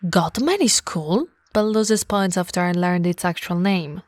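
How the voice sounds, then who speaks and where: Woman acting a slow, teasing nerdy monster girlfriend. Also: lowish voice, expressive, no echo, faint music behind. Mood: nerdy, playful. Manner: playful, teasing, often dropping into breathy whispers. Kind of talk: intimate roleplay audio acting.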